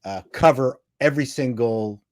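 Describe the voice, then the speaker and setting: A man's voice: medium pitch, normal speed, no echo, clean studio sound. A man on a panel chatting casually and telling anecdotes.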